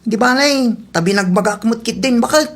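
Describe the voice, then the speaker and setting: A woman's voice: fairly high pitch, fairly quick, lightly acted. A woman playing a nagging, advice-giving acquaintance in a comedy drama, speaking with an Ilocano accent. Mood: insistent advice.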